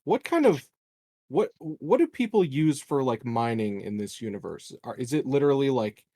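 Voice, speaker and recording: Lively man playing a tabletop game. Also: mid-range voice, normal speed. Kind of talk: casual game chat.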